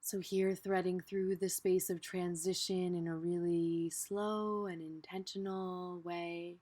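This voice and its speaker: Lower-pitched woman guiding a meditation. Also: little pitch movement. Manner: gentle, unhurried, with pauses and soft trailing phrase endings. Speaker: meditation guide. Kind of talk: unscripted guided meditation.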